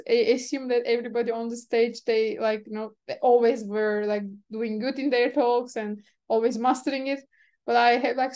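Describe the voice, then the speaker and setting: Woman, Turkish native speaker, fast and rambling, lots of fillers, fairly high voice, video call. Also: a little mellow. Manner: quick, rambling with many fillers. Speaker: webinar organizer. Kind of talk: casual webinar chat.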